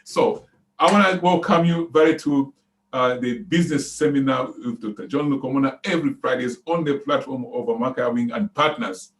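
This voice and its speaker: Guy hosting a zoom seminar, mid-pitched, keen, lots of uhs. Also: brisk. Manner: enthusiastic, hesitant with fillers. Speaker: seminar host. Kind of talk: online interview. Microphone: video call.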